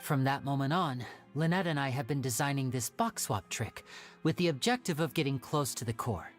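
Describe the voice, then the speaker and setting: An actor playing a polite, earnest young male stage magician in an anime game, measured and articulate. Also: medium pitch, expressive, soft background music, lightly acted. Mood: explaining. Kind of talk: anime game dialogue acting.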